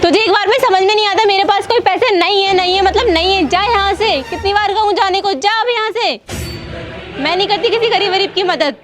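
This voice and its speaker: A woman's voice: very high voice, fairly quick. A woman playing a vain, self-centred young mother in a moral-story skit, with exclamatory, theatrical delivery. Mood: scornful, angry. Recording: music under the voice.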